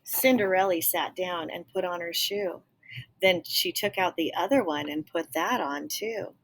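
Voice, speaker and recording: A woman's voice: on the low side, a little slow, a little hiss or hum, poor audio. A woman reading a children's picture book aloud expressively, with explanatory asides to young listeners. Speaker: storybook reader.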